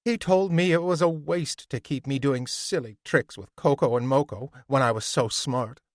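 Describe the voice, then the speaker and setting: A man voicing a frightened male talking monkey who speaks in a shrill, panicky rush. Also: normal speed, no echo, studio quality, close to an everyday voice. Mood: nervous.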